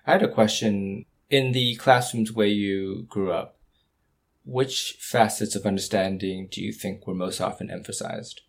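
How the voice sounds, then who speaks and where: A man hosts and steers the conversation with questions, heard from a distance. His voice is husky and gravelly and sits fairly low. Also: measured pace. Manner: asks questions, steers the talk. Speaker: discussion host. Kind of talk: casual discussion.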